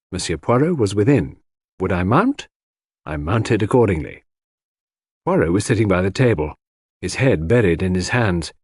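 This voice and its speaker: A man's voice: fairly low pitch, darkish tone, husky, dry recording, studio quality. A man giving a dramatic scripted narration of a classic mystery audiobook.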